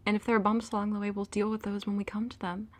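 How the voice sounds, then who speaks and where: A woman's voice: medium pitch, level tone, fast, close to an everyday voice. A young woman acting in an audio drama as a heartbroken, emotional girlfriend pleading with her boyfriend. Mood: quiet, hopeful.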